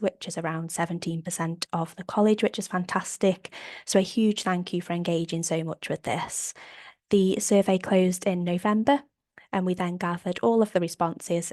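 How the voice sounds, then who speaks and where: A woman with a slight cold, sounding a bit croaky, presenting survey findings on a video call in an upbeat, methodical way. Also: on the low side, darkish tone, no echo, clean studio sound. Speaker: operations manager presenting survey data. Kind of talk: webinar slide presentation.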